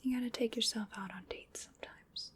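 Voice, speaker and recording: A woman's voice: a little high, a little hiss or hum, close to an everyday voice. A woman playing a playful, polite, hungry vampire in a whispered ASMR roleplay, coaxing and musing as she dotes on the listener. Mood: musing, apologetic. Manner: slow, coaxing, musing, with pet names.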